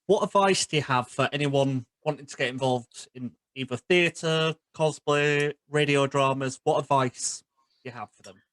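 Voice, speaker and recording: A man's voice: medium pitch, moderate pace, a bit bright, dry recording. A man hosting a casual interview, asking questions in a conversational way. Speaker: podcast host, interviewer.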